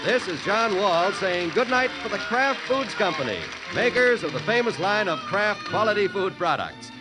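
A man's voice: sits high, big pitch swings, moderate pace, loud music behind the voice, rough recording. A man announcing a radio show and reading an ad in the emphatic style of 1940s radio. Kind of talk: scripted radio announcing and ad read.

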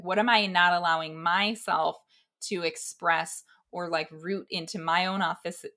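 A woman's voice: fairly low pitch, pushed and bright. A woman co-hosting a podcast in a casual self-help style, encouraging and conversational, often voicing imagined inner thoughts. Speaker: podcast co-host.

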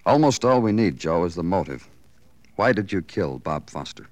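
A dogged detective lieutenant in a classic radio crime drama. He talks low and dry in clipped phrases, with pitch that moves a lot. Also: big pitch swings, normal speed, a little mellow, dry recording. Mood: commanding. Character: terse, dogged police lieutenant interrogating suspects.

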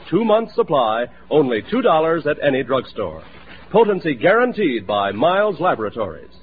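A man's voice: fairly high pitch, a little hiss or hum. An American man reading scripted 1940s radio announcements in an emphatic, salesmanlike manner. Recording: thin, phone-like old radio broadcast.

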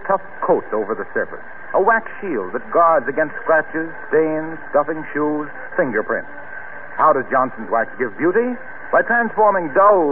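A man announcing in a scripted vintage radio style, polished and emphatic with deliberate phrasing. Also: a little high. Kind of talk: 1930s-40s scripted radio announcing and sponsor pitch. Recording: tinny, faintly noisy old radio broadcast.

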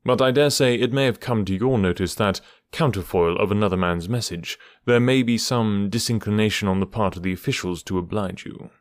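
A man's voice: fairly low pitch, fairly quick, few pauses, no room sound, lightly acted. A man voicing a cool, precise male detective with a dry, ironic manner in an audiobook. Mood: cool, measured. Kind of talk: audiobook character voice.

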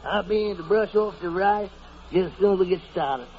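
An actor playing a deferential, obliging train porter in a 1940s radio drama. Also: male voice, sits high, brisk, lightly acted. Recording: noisy, muffled old radio broadcast.

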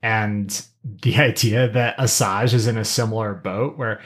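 A middle-aged podcast co-host chatting casually, with an informal, halting delivery and slangy asides. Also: mid-range voice, steady tone. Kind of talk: casual chat.

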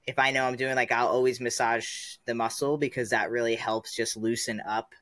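Guest, male, 30s: mid-pitched, loose and rambling, dry close sound. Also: level tone, normal speed, fairly bright tone, no echo. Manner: rambling, many "like" fillers. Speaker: podcast guest. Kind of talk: casual chat.

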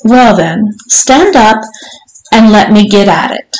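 A woman voicing a domineering, teasing, strict mistress in an audiobook, speaking in an imperious, deliberate way. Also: mid-range voice, expressive, darkish tone. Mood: commanding, probing. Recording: roomy, echoing room sound.

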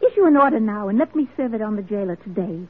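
A woman's voice: mid-range voice, expressive, quick pace, breathy edge. An actress playing an earnest, unyielding woman reformer in a classic radio drama, speaking formally and insistently. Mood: defiant, urgent. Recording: muffled old radio drama recording.

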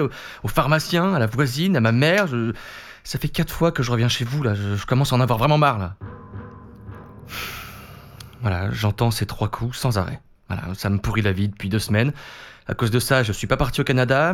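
Male actor as worn-out obsessed man, mid-range voice, halting, agitated, repetitive, naturalistic audio fiction. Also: mid-range voice, lightly acted. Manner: agitated, halting, repeating himself. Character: exhausted, obsessed man tormented by a phantom noise. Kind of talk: naturalistic French audio drama acting.

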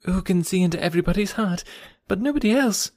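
A man voicing an eager, naive, excitable young woman with gushing, emphatic delivery in an audiobook of a classic novel. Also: sits high, fast, no echo, studio quality, voice pushed up. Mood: bashful wonder.

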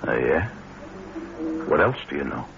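Deep-voiced suspicious small-time crook. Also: male voice, big pitch swings, measured pace, gravelly, crowd noise, full character voice. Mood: wary, tough. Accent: American. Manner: terse, wary tough-guy talk. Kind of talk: vintage American crime radio drama acting. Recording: muffled, noisy old radio recording.

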